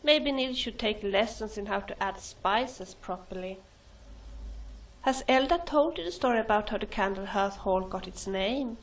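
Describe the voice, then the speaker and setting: A woman's voice: medium pitch, unhurried, distant mic, lightly acted, rough recording. A woman playing an affectionate, opinionated companion in a fantasy video game. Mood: chatty. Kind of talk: video game character dialogue.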